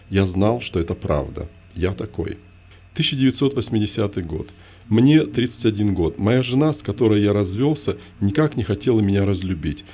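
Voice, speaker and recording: A man with a fairly low voice reads his memoir aloud in the first person. He keeps a measured, even pace, ticking off events one by one with terse, repeated phrases. The tone is steady and plain. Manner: measured, list-like delivery with terse refrains. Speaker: memoir narrator.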